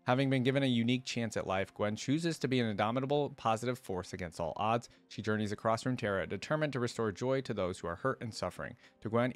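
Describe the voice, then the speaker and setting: A man with a fairly low voice hosts a reaction video. He moves between reading fantasy prose aloud and informal, conversational commentary of his own.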